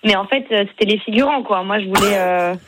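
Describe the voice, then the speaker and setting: A woman's voice: medium pitch, fast, slight background noise, low-quality recording. A woman from Paris calling into a radio game, answering hesitantly with many fillers. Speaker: caller, game contestant. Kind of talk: phone-in chat.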